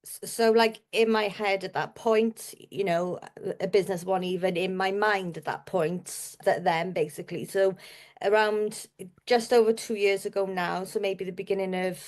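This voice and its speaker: A woman's voice: medium pitch, fairly even intonation, normal speed, darkish tone. Podcast guest: Welsh woman, mid-range voice, informal and wordy, recounting personal experience. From Wales. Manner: chatty, rambling, repeats herself. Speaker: podcast guest, business owner. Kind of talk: personal storytelling in an interview.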